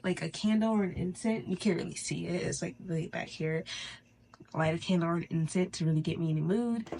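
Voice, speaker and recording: A woman with a stuffy, congested nose hosting a casual, chatty spiritual tutorial, informal and halting with pauses for breath. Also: on the low side, a little mellow, slight background noise. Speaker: host of a spirituality channel.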